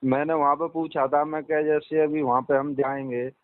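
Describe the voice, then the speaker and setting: A man's voice: on the high side, flat delivery, moderate pace. A man calling by phone, talking casually in a deferential, agreeable and slightly hesitant way. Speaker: caller, devotee seeking clarification. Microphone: phone line. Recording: tinny, dry phone call recording.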